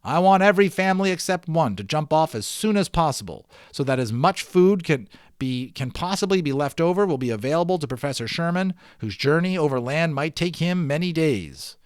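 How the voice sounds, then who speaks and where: A man's voice: on the high side, a little fast, no echo, studio quality. Man reading a children's novel aloud. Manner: expressive reading, dropping into chatty offhand commentary. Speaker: amateur audiobook reader. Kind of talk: dramatic read-aloud of a children's novel with casual asides.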